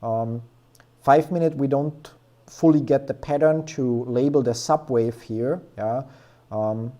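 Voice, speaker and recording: Young man, mellow voice, rambling trading-stream chatter, heavy on fillers. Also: medium pitch. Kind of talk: casual live chart analysis.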